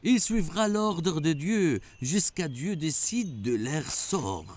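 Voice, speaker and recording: A man playing an earnest, troubled townsman in an animated prophet story, with a dramatic, emphatic delivery. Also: fairly high pitch, slow pace. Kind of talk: animated religious story acting.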